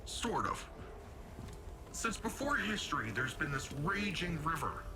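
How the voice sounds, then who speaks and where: An actor playing a brash, joking young guardian hero in a video game, chatty and slangy in manner. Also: male voice, fairly high pitch, very animated, a little slow, noisy background. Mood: musing.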